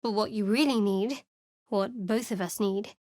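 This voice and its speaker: A woman's voice: medium pitch, a little slow, mellow, no room sound, natural voice. An actress voicing a guarded young female cleric in a video game, speaking in a cool, wry, secretive way in a dry studio recording. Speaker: game character. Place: studio booth. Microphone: studio mic.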